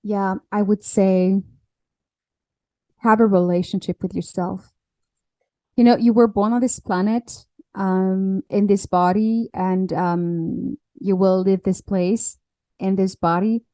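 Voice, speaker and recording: A woman's voice: medium pitch, steady tone, mellow, no room sound. French-speaking woman, dry close sound. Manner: halting, with many fillers and restarts. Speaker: podcast guest, alignment coach. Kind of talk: casual interview chat.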